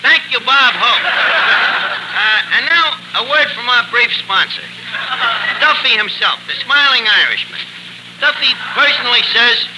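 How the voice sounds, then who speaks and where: A man's voice: very high-pitched, expressive, measured pace. A man playing a bumbling, pompous tavern manager who announces with fumbled big words in a 1940s radio comedy. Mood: pompous patter. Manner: pompous malapropisms, fumbling delivery. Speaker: host. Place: radio show broadcast. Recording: noisy 1940s radio broadcast recording.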